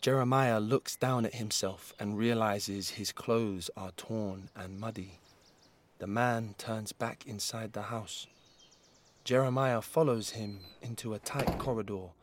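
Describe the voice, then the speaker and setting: A man narrating an audio drama in a deliberate, intense, dramatic scripted style. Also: lowish voice, fairly even intonation.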